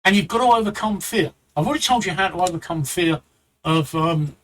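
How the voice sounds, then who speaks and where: A man's voice: high voice, brisk, a bit bright, poor audio. A man with a London accent giving blunt streaming advice live, repeating himself and rambling into asides. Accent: London English. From England.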